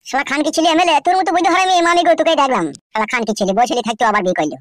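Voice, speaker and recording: A woman's voice: high-pitched, lively intonation, rapid-fire, slight background noise. A woman playing a cheeky, foul-mouthed young male henchman in a comedy cartoon, with a West Bengal Bengali accent. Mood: scornful. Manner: cheeky, crude, gossipy.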